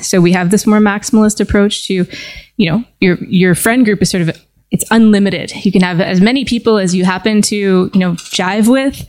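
A woman's voice: medium pitch, brisk, darkish tone. A woman from the United States hosting a casual table conversation, chatty and full of fillers and restarts. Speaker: host.

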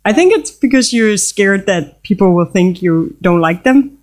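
A woman chatting casually at a table with friends, lively and repeating her points. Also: medium pitch, measured pace. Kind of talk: casual conversation. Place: seated at a table with others.

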